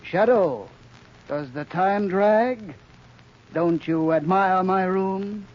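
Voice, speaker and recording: Taunting, ruthless 1930s radio crime boss. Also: male voice, sits high, drawn-out words. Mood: mocking. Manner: commanding, taunting, contemptuous. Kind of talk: 1930s radio drama acting. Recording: muffled, noisy 1930s radio recording.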